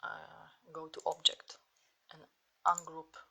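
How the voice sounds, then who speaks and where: Woman tutor with a Polish accent, slow, lowish voice, walks through software steps. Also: completely flat delivery, vocal fry. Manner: slow, step-by-step instructions while clicking. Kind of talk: screen-recorded software tutorial.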